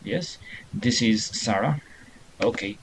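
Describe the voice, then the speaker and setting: Slow, patient male English teacher. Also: medium pitch, very animated, gravelly, rough recording. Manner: patient, encouraging, reads answers aloud. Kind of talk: online class instruction.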